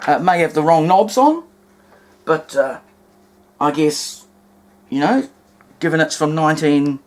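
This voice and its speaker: A man's voice: fairly high pitch, expressive, unhurried. A man with a New Zealand accent giving a casual, unscripted hands-on demonstration at home, hesitant, folksy and wry. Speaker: hobbyist presenter demonstrating vintage radios. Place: indoors in a cluttered home room.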